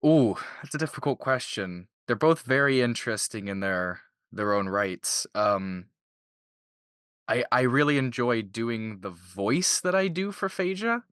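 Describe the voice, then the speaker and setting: A man's voice: medium pitch, expressive, unhurried, a bit bright, clean tone, no echo, clean studio sound. A man of twenty-two from Canada chatting casually as a voice actor in an interview.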